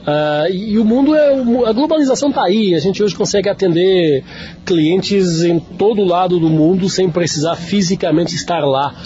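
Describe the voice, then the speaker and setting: A man's voice: sits high, moderate pace, dark tone, muffled recording, a little hiss or hum. A man from Portugal with a Lisbon accent, answering radio interview questions as a business executive, talkative and full of fillers and restarts.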